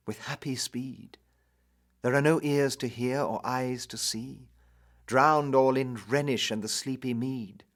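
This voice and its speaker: A man acts out a young knight in love, speaking verse in an audio drama. He is slow, tender and impassioned, swelling with urgency and sinking toward whispers. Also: medium pitch, voice pushed up. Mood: urgent. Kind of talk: dramatic romantic poetry recital.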